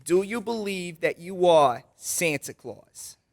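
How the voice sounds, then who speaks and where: A man's voice: high voice, expressive, slow, vocal fry, exaggerated voice. A man playing a patient, formal judge in an old-time radio drama.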